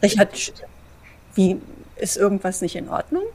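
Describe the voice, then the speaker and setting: A woman playing a composed, diplomatic vampire in a role-play audio drama, speaking in measured, formal wording. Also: mid-range voice, expressive, a little mellow, a little hiss or hum, natural voice. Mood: concerned. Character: composed, diplomatic female vampire, cautious and respectful.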